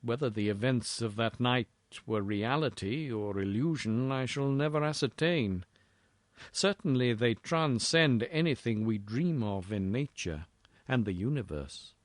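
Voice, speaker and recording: Male narrator for gothic horror prose: measured pace, formal theatrical delivery, dry close sound. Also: medium pitch, lively intonation, no room sound. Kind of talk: dramatic literary horror story narration.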